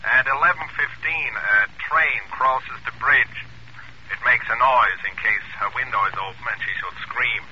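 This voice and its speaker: An actor playing a cold, deliberate, commanding crime boss in a 1940s radio suspense drama. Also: male voice, mid-range voice, lightly acted. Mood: cold, businesslike. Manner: deliberate, matter-of-fact, commanding. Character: cold, businesslike male boss plotting a murder. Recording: noisy, tinny old radio phone scene.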